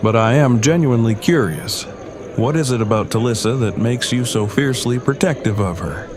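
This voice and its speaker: A man's voice: medium pitch, normal speed, lightly acted. A man playing an earnest, wordy survivor in an audio drama, speaking in stiffly formal, precise phrasing. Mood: curious, careful. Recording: crowd chatter behind. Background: party crowd chatter.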